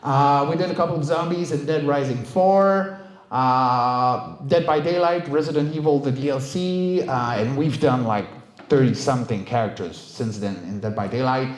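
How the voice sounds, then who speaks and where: In a roomy, echoing space, a middle-aged man gives an informal talk. His voice sits at a middle pitch, and he tells his stories in an animated, conversational way, like a working performer and coach addressing a meetup. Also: moderate pace. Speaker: voice actor, director and coach giving a talk. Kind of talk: informal conference talk, career anecdotes. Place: indoor event room, live talk. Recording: roomy, echoey live talk recording.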